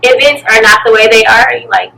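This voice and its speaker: A woman tells her story casually and with animation. Her voice is bright and forceful. She is a little away from the mic, with faint ambient noise behind her. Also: on the high side, steady tone, normal speed, gravelly. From Birmingham, Alabama, USA. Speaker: interview guest, pole studio owner and event planner. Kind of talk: casual chat. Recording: slightly distant mic, noisy room ambience.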